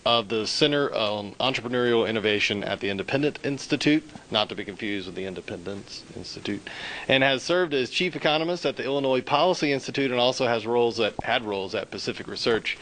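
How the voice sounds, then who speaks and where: A man's voice: medium pitch, expressive, fast, fairly bright tone, a little hiss or hum, poor audio. Man introducing the next speaker. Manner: reads a list of credits with frequent uhs. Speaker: conference moderator. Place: conference hall.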